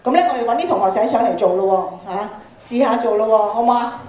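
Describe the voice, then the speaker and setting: A woman's voice: fairly high pitch, quick pace, lo-fi sound, slight background noise. A middle-aged woman with a Hong Kong Cantonese accent, leading an interactive workshop for students and prompting them with questions. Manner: instructive, prompting with questions and tag particles. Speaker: radio drama workshop speaker. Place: large indoor auditorium, on and before the stage. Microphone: handheld microphone through PA. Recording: roomy auditorium PA sound.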